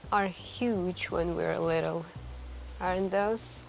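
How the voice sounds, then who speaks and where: A woman playing a wistful, soft-spoken café stranger who confides intimately and hesitantly in an ASMR roleplay. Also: fairly low pitch, creaky. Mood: nostalgic, musing. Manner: intimate, hesitant, musing, with gentle pauses.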